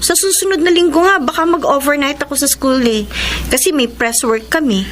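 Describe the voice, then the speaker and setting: A woman's voice: high-pitched, fairly quick, natural voice. A woman playing a bubbly, dutiful teenage student in a radio drama, with a Filipino accent. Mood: sheepish, evasive. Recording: loud background noise and ambience.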